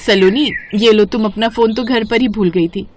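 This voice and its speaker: A woman voicing a gentle, caring and faithful wife in a cartoon moral story. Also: a little high, normal speed, slight background noise, close to an everyday voice. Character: gentle, faithful waiting wife and sister-in-law.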